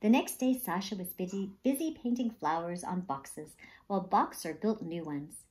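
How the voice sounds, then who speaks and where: A woman reading a children's story aloud with expressive, dramatic, emphatic narration. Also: on the low side, normal speed, rough recording.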